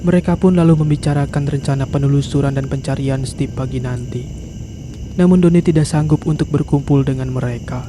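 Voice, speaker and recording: A man's voice: medium pitch, fairly even intonation, a little fast, background noise. A man narrating a horror story in a scripted storytelling style.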